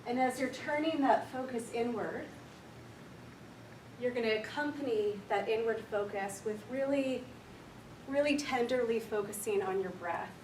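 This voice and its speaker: A woman counsellor with a quiet voice leading a slow, gentle guided meditation for a workshop audience. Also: on the high side, slight background noise. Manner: soft, slow, gentle and unhurried. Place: large echoey room with an audience. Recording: echoey room recorded from far off.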